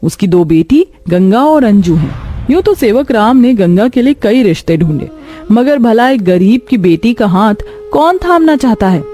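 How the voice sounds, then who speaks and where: A woman's voice: a little high, sing-song, a little slow, dark tone, soft background music. A woman narrating a scripted cartoon story.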